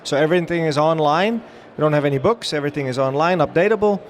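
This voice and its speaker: A middle-aged Dutch man talks to a crowd at a trade show, upbeat and chatty, often pausing on fillers and restarting his sentences. His voice sits somewhat high for a man. A faint wash of show-floor noise runs behind him. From Netherlands. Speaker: dive training director giving a trade-show talk. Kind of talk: live presentation to an audience.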